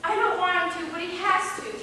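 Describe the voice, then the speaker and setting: A woman's voice: extremely high pitch, fairly even intonation, fairly quick, sharp tone. A woman acting in an amateur one-act stage play about a teenage girl and her aunt. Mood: upset. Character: teenage girl reading a diary aloud, and her stern, wounded aunt. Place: performed on stage in a hall, recorded from far off. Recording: distant, echoey hall stage recording with noise.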